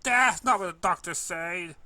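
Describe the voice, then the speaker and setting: A man voicing an upset, gloomy young filly pegasus in a story reading, with loud, tearful, indignant delivery. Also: high-pitched, unhurried, no echo, voice pushed up, exaggerated voice. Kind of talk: amateur story reading in character.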